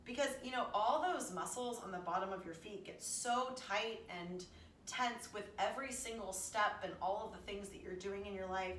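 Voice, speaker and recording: A woman's voice: mid-range voice, moderate pace, fairly bright tone. A chatty, encouraging woman in her thirties leading a casual stretching workout as a fitness instructor, recorded at home in a living room. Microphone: camera a few meters away. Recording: roomy, distant mic, faint room noise.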